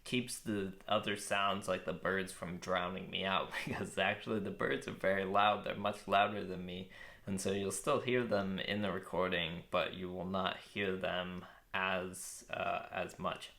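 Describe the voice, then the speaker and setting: Man, young adult, very low voice, gravelly, relaxed gear review, lots of ums. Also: unhurried. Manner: relaxed, thoughtful, with frequent ums and uhs. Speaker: vlogger reviewing a microphone. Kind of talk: casual product review. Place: outdoors with birds nearby. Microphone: shotgun mic on phone.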